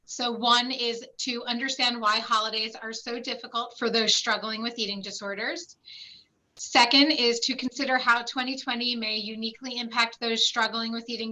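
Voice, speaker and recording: A woman walks through a webinar presentation in a clear, explanatory way, her voice sitting fairly high. The tone is bright and forward. She speaks over a video call. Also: low-quality recording. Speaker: clinical site director presenting a webinar.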